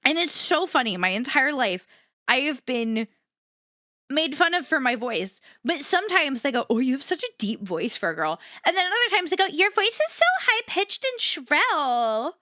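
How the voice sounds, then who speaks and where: A woman with a high, very animated voice that is slightly rough at the edges, chatting to her stream audience. She rambles candidly, swears freely and circles back to repeat her points. The sound is close and dry. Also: normal speed, dry recording. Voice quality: versatile voice, swinging between low and high. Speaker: livestreamer chatting with viewers. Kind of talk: casual rambling chat to an audience.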